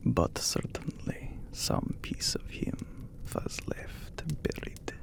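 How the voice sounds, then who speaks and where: Man acting as a slow, whispering vampire count. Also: natural voice. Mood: somber, haunted. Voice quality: breathy, whispery, gravelly. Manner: slow, formal, hushed, with long dramatic pauses. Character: ancient, courteous, brooding male vampire count, handsome castle lord. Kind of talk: ASMR romantic roleplay, scripted character acting.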